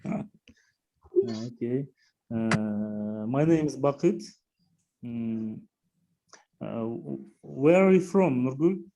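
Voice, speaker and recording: A man's voice: mid-range voice, animated, warm tone, muffled recording. A man in his thirties with a Kyrgyz accent, a beginner student of English who speaks hesitantly. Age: 30s. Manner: hesitant, halting.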